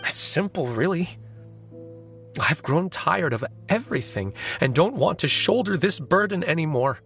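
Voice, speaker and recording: A man voicing a weary, composed novelist and confessed avenger in a video game, speaking politely in measured, articulate phrases. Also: on the high side, lively intonation, a bit bright. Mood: weary, resigned. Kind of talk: dramatic video game voice acting. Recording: music behind the voice.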